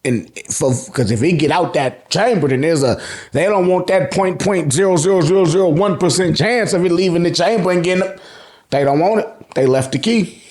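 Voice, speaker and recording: An American man giving an excited, slangy fan recap, emphatic and repeating phrases for effect, with casual swearing. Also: high-pitched, brisk. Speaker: host of an anime and manga review channel.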